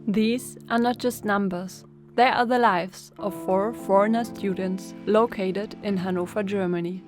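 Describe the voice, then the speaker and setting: A woman reads documentary narration in a measured, reflective way, with her voice at an ordinary pitch. Clear music plays under her the whole time. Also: fairly even intonation. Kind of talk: scripted narration.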